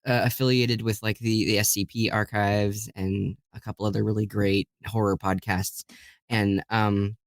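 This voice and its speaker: A man's voice: lowish voice, level tone, normal speed, dry recording. A man talking casually and enthusiastically as a guest in an interview about his work as a voice actor. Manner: enthusiastic, chuckles while talking. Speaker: guest voice actor and audiobook narrator.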